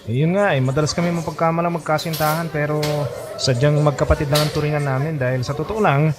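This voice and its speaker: A man's voice: fairly high pitch, steady tone, quick pace, a crowd in the background, natural voice. A man playing a protective, teasing college-age best friend in a Filipino radio drama, breezy and playful. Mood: earnest, protective. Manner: breezy, playful, laughs often. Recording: music bed under dialogue.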